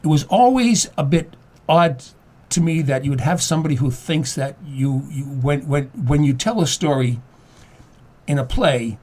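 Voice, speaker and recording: An older man speaking as a guest in a conversational interview, explaining things haltingly and repeating his words as he goes. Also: on the high side, lively intonation, a little mellow. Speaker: guest playwright and acting teacher.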